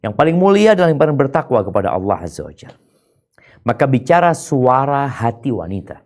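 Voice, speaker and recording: A male preacher giving a sermon with a very lively, swooping pitch. He drops to hushed whispers and then rises to raised exclamations. His voice sits at a middle pitch.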